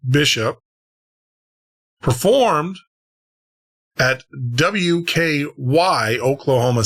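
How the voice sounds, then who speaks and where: A man's voice: on the high side, a bit bright. A man hosting a late-night podcast, chatting casually and rambling with chuckles before introducing an old radio show. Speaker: podcast host.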